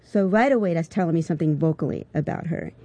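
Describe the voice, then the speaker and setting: A woman's voice: low voice, fast talker, warm tone, no echo. A woman composer talking articulately about writing opera in an interview. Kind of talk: interview answers.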